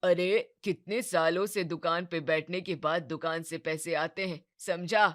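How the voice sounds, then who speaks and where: A woman voicing an older neighbourhood uncle, admonishing and full of advice, in animated story dialogue. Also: lowish voice, unhurried, natural voice.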